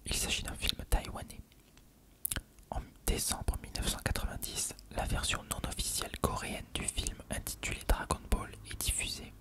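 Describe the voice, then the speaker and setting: Whispered ASMR narrator reading in French. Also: normal speed. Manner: unvoiced whispering throughout.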